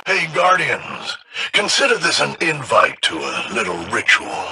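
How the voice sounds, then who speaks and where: Sarcastic creature villain for a game: gravelly, drawling, swooping sneers. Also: male voice, on the high side, huge pitch swings, heavily acted. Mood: sardonic invitation. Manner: mocking, drawn-out sneering delivery. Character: taunting thuggish enemy creature, sarcastic and scornful. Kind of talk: video game villain dialogue. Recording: clear background noise.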